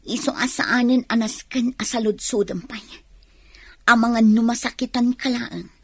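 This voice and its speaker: A woman plays an unhappy young wife with a defiant streak in a radio drama. Her voice is fairly high and recorded dry and close. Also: fairly even intonation, normal speed, breathy edge, no room sound. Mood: hurt, resentful. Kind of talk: radio drama acting in Ilocano.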